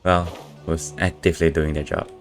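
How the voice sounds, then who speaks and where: A man's voice: very deep voice, flat delivery, normal speed. A man giving casual livestream commentary as he plays a game. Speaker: game streamer. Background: faint game music.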